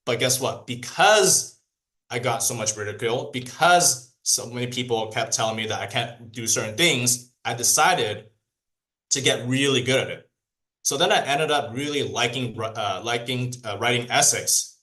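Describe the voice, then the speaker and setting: A man whose first language is Cantonese, giving a lively training talk to a group, asking them questions and repeating key points. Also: mid-range voice, normal speed, poor audio. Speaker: sales trainer.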